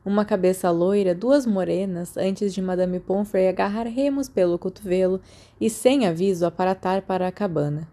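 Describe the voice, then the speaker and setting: A woman's voice: medium pitch, normal speed, mellow. A Brazilian woman reading a story aloud as scripted audiobook narration. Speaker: podfic narrator.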